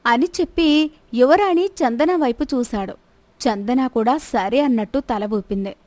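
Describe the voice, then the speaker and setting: A woman narrates a scripted moral tale. Her voice sits a little high and is recorded close and dry, with no room sound around it. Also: measured pace, a little mellow, no echo.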